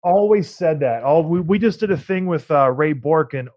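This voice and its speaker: A man's voice: a little high, expressive, normal speed, no room sound. A man hosting a podcast, chatty and upbeat, often laughing with his guest. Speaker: podcast host. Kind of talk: casual podcast conversation. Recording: dry, slightly dull sound.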